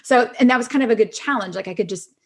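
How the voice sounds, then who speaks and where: A woman's voice: a little high, racing. A middle-aged woman chatting casually as a podcast guest, telling personal stories with plenty of "like" and "you know". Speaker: podcast guest, actress and singer-songwriter.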